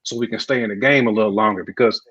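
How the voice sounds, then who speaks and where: Husky American man on dull audio. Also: lowish voice, racing, fairly bright tone, dry recording. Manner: repeats phrases for emphasis. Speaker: podcast panelist. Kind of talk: casual panel chat.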